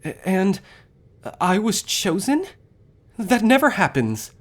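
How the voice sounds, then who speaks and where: A man playing an anxious, expressive young academy student in an audio roleplay. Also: high voice, takes it slowly, full character voice. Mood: surprised, worried.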